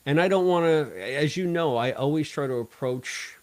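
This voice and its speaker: A man co-hosting a casual podcast discussion, talking in a wordy, halting way and repeating phrases as he goes. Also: a little high, dry recording. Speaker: podcast co-host.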